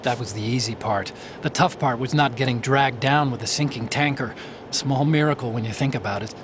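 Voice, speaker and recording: A man's voice: medium pitch, brisk, noisy background, lightly acted. A man playing an earnest, brainy support ally in a video game, explaining things in a dramatic scene. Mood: earnest, explanatory.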